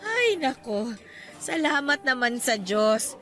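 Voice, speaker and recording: A woman playing an older, playful Filipino mother in a radio drama, chatty and jokingly scolding with warm welcome. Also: high voice, big pitch swings, takes it slowly, heavily acted. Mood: delighted.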